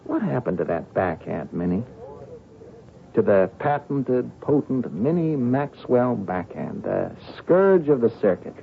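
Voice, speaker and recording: A needling newsman, hesitant and concerned. His creaky voice rises and falls a great deal. The broadcast is thin and tinny, with faint music. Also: mid-range voice, very animated, measured pace, slight background noise. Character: wry male reporter, uneasy and concerned. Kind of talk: radio drama acting. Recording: tinny phone-like old radio broadcast, music behind.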